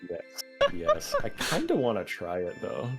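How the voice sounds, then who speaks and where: A man chatting as a podcast guest, animated and profane, breaking into loud laughs, recorded on a home microphone. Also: on the low side, measured pace, music in the background. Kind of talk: casual podcast chat.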